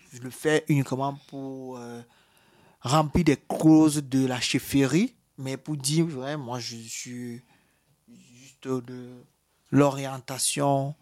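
A man's voice: medium pitch, a little mellow. A man from Cameroon with a Cameroonian French accent, giving a personal testimony in an interview, hesitant with many fillers and restarts. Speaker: interviewee, asylum seeker.

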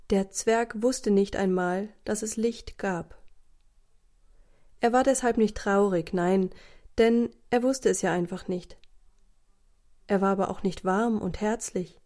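A woman narrating a children's audio story in a measured way, speaking directly to young listeners. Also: medium pitch, dark tone, close to an everyday voice.

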